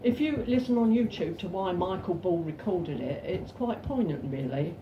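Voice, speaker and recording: An older woman from England with a self-described deep voice, chatting and reciting verse at a folk club in a pub. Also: lively intonation, background noise. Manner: chatty, reads verse slowly and sings unaccompanied. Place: cosy pub back room. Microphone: stand mic, filmed from across the room. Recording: roomy, distant, dull pub recording.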